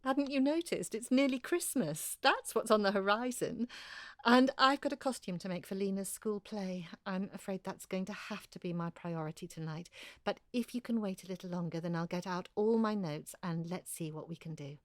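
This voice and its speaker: An actress playing Hilary, a thirty-nine-year-old former museum curator and single mother who speaks in a proper, florid, brisk way. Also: medium pitch, no echo, studio quality, lightly acted. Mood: warm. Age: 30s. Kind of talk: audio drama acting, epistolary.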